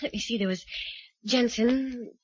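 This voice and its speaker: A woman's voice: fairly high pitch, unhurried. An actress playing an earnest, thoughtful young woman in 1940s radio drama style. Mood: thoughtful recalling. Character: earnest young woman, murder victim's roommate. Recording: muffled 1940s radio recording.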